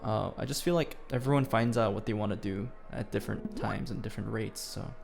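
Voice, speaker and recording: A man's voice: lowish voice, brisk, gravelly, music in the background. A young man born in Korea, whose first language is Korean, answering fan questions in a playful, self-deprecating way. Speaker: YouTuber. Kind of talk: casual Q&A vlog.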